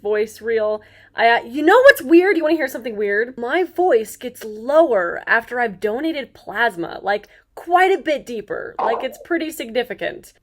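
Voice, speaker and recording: A woman in her twenties hosting a casual chat, bubbly and quick to laugh and shout. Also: fairly high pitch, very animated. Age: 20s. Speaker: host.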